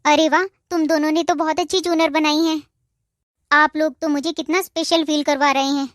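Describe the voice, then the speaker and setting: A woman voicing a gentle, polite young newlywed daughter-in-law in a cartoon, with a soft, respectful manner. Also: high voice, level tone, moderate pace, no echo, clean studio sound, lightly acted. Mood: sweet. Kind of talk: Hindi cartoon dubbing.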